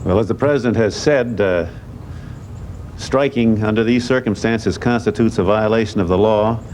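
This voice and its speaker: A man, a government legal official, answering reporters in cautious, measured, formal phrasing. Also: fairly low pitch, darkish tone. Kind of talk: press Q&A answers. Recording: 1981 press event tape with clear background noise.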